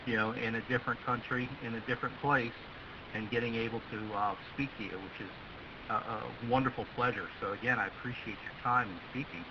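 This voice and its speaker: A man's voice: mid-range voice, fairly even intonation, a little fast. Man on a muffled, hissy radio link. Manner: rambling, polite, appreciative. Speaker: amateur radio operator. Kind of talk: casual ham radio contact.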